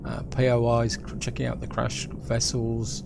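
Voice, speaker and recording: A man with a cold giving relaxed gameplay commentary, explaining each step and often restarting his phrases. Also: a little slow, gravelly. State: has a cold. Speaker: gameplay video host. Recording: loud game engine rumble behind the voice. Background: loud vehicle and engine rumble from the game.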